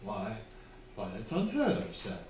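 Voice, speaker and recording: Guy doing polite young vicar in an old radio play, really animated, echoey and far from mic. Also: lowish voice, a little slow. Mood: earnest, deferential. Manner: earnest, courteous, deferential. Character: polite, earnest, deferential younger clergyman. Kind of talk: staged old-fashioned radio drama acting. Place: theatre hall, distant microphone. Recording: very echoey stage recording, faint noise.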